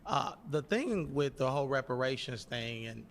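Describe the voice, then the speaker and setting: A man's voice: mid-range voice, lively intonation, normal speed, in a large hall, a little hiss or hum. A man in his thirties in a heated political debate, speaking emphatically and insistently. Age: 30s. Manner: emphatic, insistent, pushes back hard. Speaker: debate guest.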